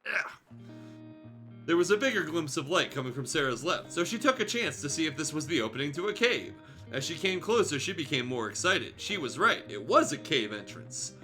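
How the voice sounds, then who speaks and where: Bright, animated man reading fan fiction. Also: fairly high pitch, a little fast, faint music behind. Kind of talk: dramatic story reading with joking asides.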